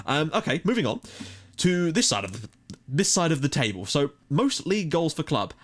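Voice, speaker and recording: A young man casually commenting on his game playthrough with a jokey, rambling manner. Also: fairly high pitch. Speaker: let's play host.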